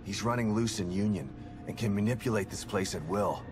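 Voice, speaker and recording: A man's voice: on the low side, a little fast, close to an everyday voice. An actor playing a weary, determined father in a video game, talking in a terse, blunt, wry way. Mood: wary, blunt. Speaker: father searching for his daughter in a horror game. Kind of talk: video game cutscene acting. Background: background music.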